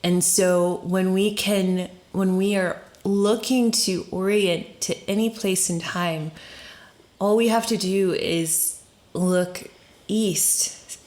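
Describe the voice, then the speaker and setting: A woman's voice: mid-range voice, fairly even intonation, takes it slowly, darkish tone. A woman speaking as a podcast guest, explaining ideas in an informal, reflective way, searching for her phrasing and posing rhetorical questions. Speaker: podcast guest, story doula and facilitator.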